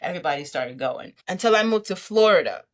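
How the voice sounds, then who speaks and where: A woman, giving an animated, emphatic testimony as a podcast guest and often repeating herself for stress. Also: on the low side, fast. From Brooklyn, New York.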